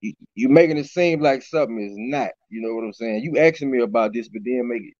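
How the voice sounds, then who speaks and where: Raspy middle-aged rapper, laid-back guest, muffled webcam audio. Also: male voice, medium pitch, fast, darkish tone, no room sound. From Baton Rouge, Louisiana. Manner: loose, repeats "you know what I'm saying". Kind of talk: casual interview chat. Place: small room indoors. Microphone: webcam on a video call. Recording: dull lo-fi video call audio.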